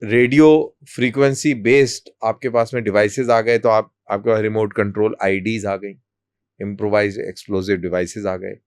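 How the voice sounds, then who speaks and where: Clear-voiced man explaining deliberately. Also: on the low side, fairly even intonation, a little mellow, no room sound. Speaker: army colonel, interview guest. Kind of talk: explanatory interview talk.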